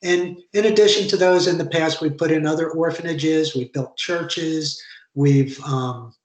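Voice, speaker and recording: A man describing his charity projects on a video call panel, earnest and halting with fillers. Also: on the high side, steady tone, reverberant, poor audio. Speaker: charity founder, alumni panelist.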